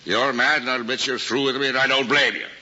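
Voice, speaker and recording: An actor playing a big-hearted, bumbling American cab driver, folksy and stammering when flustered, in an old screen comedy-drama. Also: male voice, medium pitch, fairly even intonation, brisk, sharp tone. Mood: contrite, flustered. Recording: muffled old film soundtrack, clear hiss.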